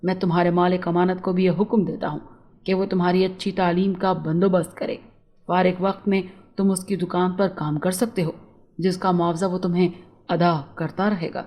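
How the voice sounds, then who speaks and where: A grave, kindly judge from a storybook, played by a woman. The voice sits fairly low and very soft-edged. Pronouncements come out formal and measured, with benevolent authority. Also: level tone, lightly acted. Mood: solemn, approving. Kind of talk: storybook character dialogue.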